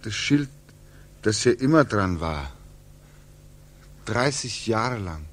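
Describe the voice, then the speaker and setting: An actor playing a homesick, brooding young man back from war in a 1940s radio drama, with halting, repetitive, anguished speech. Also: fairly low pitch, sing-song, heavily acted. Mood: bewildered, pleading. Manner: halting, repetitive, swinging into agitated questioning. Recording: 1940s radio recording, music behind.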